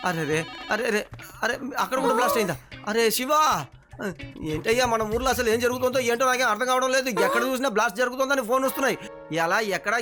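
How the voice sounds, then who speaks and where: High-pitched flustered cartoon policeman. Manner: blustery, repeats words in a fluster. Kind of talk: exaggerated Telugu cartoon dubbing.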